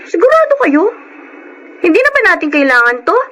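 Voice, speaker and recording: A woman's voice: very high-pitched, big pitch swings, fairly quick, fairly bright tone, slight background noise, full character voice. An actress playing a flustered young Filipino girl filming with her friends in a radio drama.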